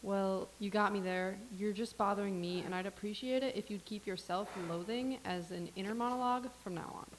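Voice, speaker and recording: An actress playing a young, dry-witted barista who answers with deadpan sarcasm. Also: female voice, mid-range voice, normal speed, hardly any pauses, close to an everyday voice, low-quality recording. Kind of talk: staged reading of a comedy play. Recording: faint hiss.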